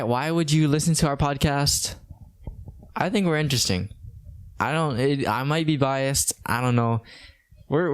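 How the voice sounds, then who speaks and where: A young man in his late teens from Hawaii, hosting a casual podcast chat in a flat, monotone way with lots of restarts. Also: mid-range voice, clean tone. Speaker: podcast host.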